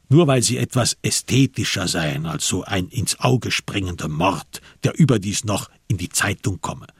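Gravelly low-voiced male narrator. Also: dry recording, clean studio sound. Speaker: narrator of a crime radio play. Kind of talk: scripted literary narration with reported speech.

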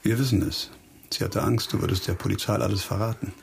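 Low, gravelly, grim police investigator. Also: male voice, steady tone, fast, a little hiss or hum. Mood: insistent, probing. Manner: insistent, probing questions. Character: grim, hard-bitten male police investigator bent on retribution. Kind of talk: radio crime drama acting.

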